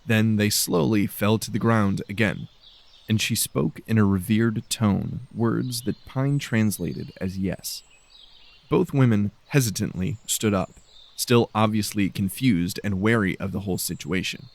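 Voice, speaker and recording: Low, gravelly male story narrator. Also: unhurried. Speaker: audiobook narrator.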